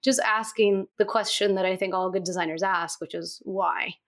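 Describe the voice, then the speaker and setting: A woman's voice: mid-range voice, steady tone, normal speed, a bit bright. A young woman from Turkey, an experience designer, chatting eagerly and laughing easily as a guest co-host in a casual interview.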